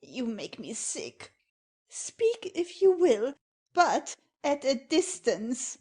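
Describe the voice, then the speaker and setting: Casting: actress as snooty older lady, high, slow, disdainful, period comedy audio play. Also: very animated, darkish tone, breathy edge, heavily acted. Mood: haughty disgust. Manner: haughty, disdainful. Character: haughty, disgusted older gentlewoman mother-in-law.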